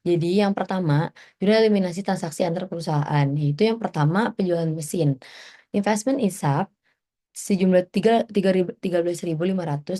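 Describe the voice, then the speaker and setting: A woman's voice: lowish voice, dark tone. A young woman giving an informal student presentation, explaining step by step in a conversational way. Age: young adult.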